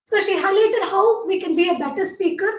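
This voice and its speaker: A woman, the club secretary, reading the minutes of the last meeting in a formal, measured way. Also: very high voice. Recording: echoey hall, distant mic.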